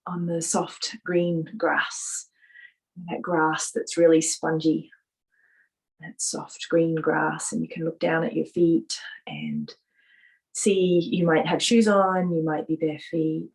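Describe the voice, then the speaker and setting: A woman leading a guided visualisation meditation, speaking softly in a gentle, soothing manner with drawn-out pauses. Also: low-pitched, rough recording.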